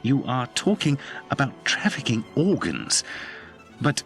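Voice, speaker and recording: Friendly hospital doctor, middle voice, polite and candid, explains things, game cutscene, faint music. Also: male voice, medium pitch, very animated, measured pace, a bit bright. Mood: candid, explanatory. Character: genial, candid male Victorian English hospital doctor. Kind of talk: dramatic video game dialogue.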